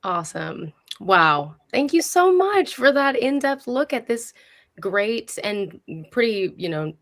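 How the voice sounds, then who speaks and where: A woman's voice: a little high, sing-song, slow pace, fairly bright tone. A woman hosting a history talk in a casual, effusive, enthusiastic conversational way. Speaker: host.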